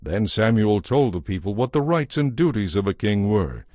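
A man's voice: fairly low pitch, big pitch swings, moderate pace, darkish tone, no room sound, studio quality. A man narrating a dramatized audio Bible as a scripted story.

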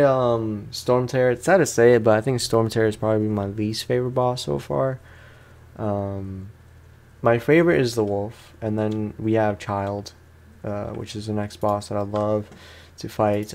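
A man's voice: on the low side, a little mellow. A man giving casual let's play commentary on a video game, chatting to viewers in slangy language. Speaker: gaming YouTuber.

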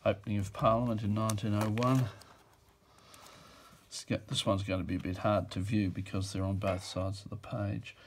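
Male auctioneer, lowish voice, offhand musing delivery, fillers and self-corrections. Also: level tone, moderate pace, slight background noise. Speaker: auctioneer describing a lot. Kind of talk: casual off-the-cuff commentary. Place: indoors at a table.

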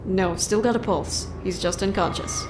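A woman's voice: medium pitch, fairly even intonation, normal speed, music in the background, natural voice, low-quality recording. An actress playing a tough, curt veteran policewoman in an audio drama, terse and commanding. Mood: wary, terse.

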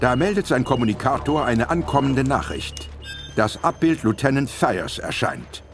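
Erzähler über kräftiger Musik. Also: male voice, mid-range voice, very animated, moderate pace. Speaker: narrator. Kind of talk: dramatic scripted audio drama narration. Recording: music behind the voice. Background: prominent music.

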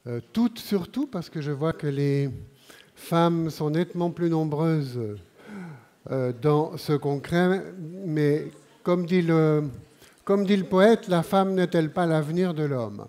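A man in his sixties with a Swiss French accent lectures from an auditorium stage. His voice sits a little high for a man yet sounds mellow and warm. He talks slowly and deliberately, pausing often and filling the gaps with hesitations. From Switzerland. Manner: measured, unhurried delivery with frequent pauses and fillers. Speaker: conference speaker. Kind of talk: live conference talk.

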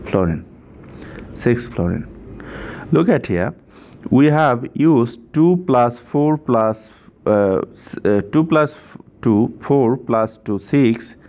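A man's voice: medium pitch, expressive, takes it slowly, a little mellow. A man giving an informal step-by-step chemistry tutorial, repeating himself and often checking in with "right?".

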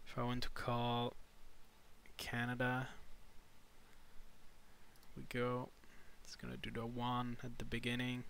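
A man narrating an improvised step-by-step screen tutorial in halting, short instructional phrases. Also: mid-range voice, completely flat delivery, creaky, a bit bright, slight background noise. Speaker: tutorial presenter.

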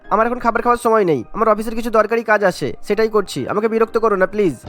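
A man's voice: sits high, rapid-fire, fairly bright tone, soft background music. A man voicing an exasperated young husband in a cartoon, scolding and insistent. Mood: firm, annoyed. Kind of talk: cartoon dialogue.

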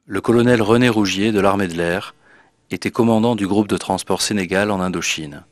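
A man's voice: fairly low pitch, a little fast, dry recording. A man reading scripted documentary narration in a voice-over. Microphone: voice-over studio mic.

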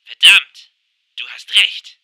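A man voicing a bossy, excitable young cartoon pony gamer in an amateur fan audio drama. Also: takes it slowly, background noise, natural voice. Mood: bossy, eager. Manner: commanding, excitable.

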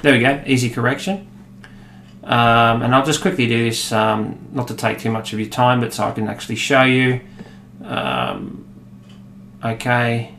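A man in his thirties with an Australian accent, explaining a software demo in a relaxed way, with many fillers. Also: medium pitch, measured pace, slight background noise. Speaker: product demonstrator. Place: office room, indoors. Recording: echoey room with faint ambience.

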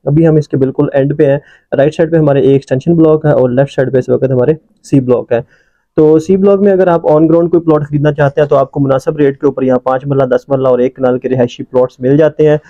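A man, a real estate agent, narrating a property tour from inside a moving car in a brisk sales pitch with many "uh" fillers. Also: fairly even intonation, dark tone, dry recording, lo-fi sound. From Pakistan.

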